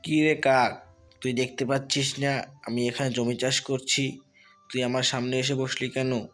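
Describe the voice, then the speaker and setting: A man voicing a poor, grumbling farmer in a children's cartoon, gruff, wary and out for his own gain. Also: medium pitch, little pitch movement, a little slow, soft background music, close to an everyday voice. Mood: annoyed.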